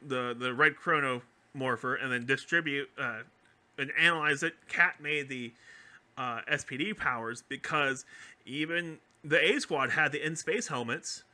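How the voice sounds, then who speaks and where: A man chatting casually as a podcast co-host, explaining fan theories in an animated, geeky way and often correcting himself. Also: mid-range voice, unhurried.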